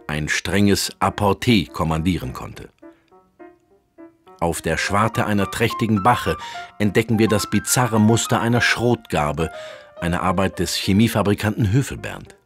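Man as a deep-voiced pompous art critic. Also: lightly acted. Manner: pompous, florid, solemn. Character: pompous male art critic, solemnly rapturous. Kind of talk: scripted mock art-documentary narration.

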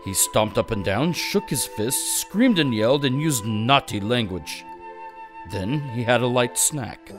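Animated male storybook narrator. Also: mid-range voice. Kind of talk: scripted mock-storybook narration.

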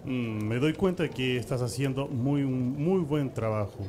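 An actor playing an approving, authoritative high priest in a religious radio drama, speaking in a measured, pondering way. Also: male voice, noisy background, natural voice. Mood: measured approval. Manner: measured, pondering, with thoughtful hums.

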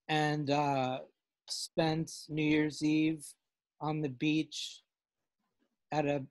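Slow, halting man leading a seminar. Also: a little high, darkish tone, no echo, rough recording. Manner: halting, many fillers and restarts. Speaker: class discussion leader. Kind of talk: casual seminar discussion on a video call. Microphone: video call.

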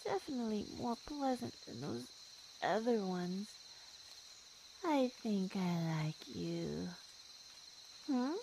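A woman playing a languid, possessive feline monster girl in an ASMR roleplay, predatory yet affectionate, with drawn-out murmurs. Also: medium pitch, animated, gravelly, background noise. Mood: possessive, teasing. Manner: slow, drawn-out, purring murmurs and sighs.

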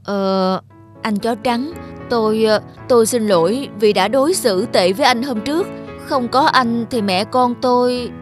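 A woman's voice: a little high, measured pace, faint music behind, lightly acted. A woman voicing a resentful, weary mother buffalo with a grumbling manner in a cartoon fable. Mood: remorseful. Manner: grumbling, sarcastic. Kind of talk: dubbed cartoon fable acting.

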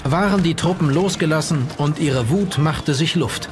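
An actor playing formal, stern nineteenth-century Prussian gentlemen in documentary quotations, declaiming solemnly. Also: male voice, fairly high pitch, normal speed, lightly acted. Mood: grave, formal. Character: 19th-century male eyewitnesses and Prussian king, formal, stern. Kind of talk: acted historical quotations in a documentary. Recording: faint music behind the voice.

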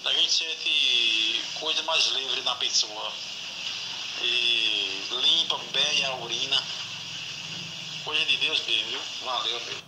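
A man's voice: mid-range voice, slow. A Brazilian man leaving a casual voice message to a friend as a satisfied customer. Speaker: customer giving a testimonial. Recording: noisy echoey voice note replayed from phone.

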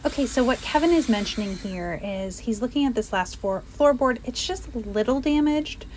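A woman's voice: on the high side, animated, normal speed, a little mellow, low-quality recording. A woman narrating a renovation vlog in a casual, conversational, explanatory way. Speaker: host narrator. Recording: background music under voice-over.

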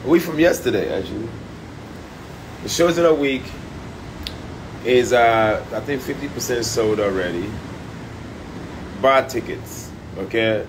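Loud profane man livestreaming on a phone. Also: mid-range voice, measured pace, pauses often, noisy background. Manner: loud, profane, animated trash talk. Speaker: comedian livestreaming. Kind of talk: casual live stream chat. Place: high-rise hotel room by the beach. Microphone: phone held at arm's length.

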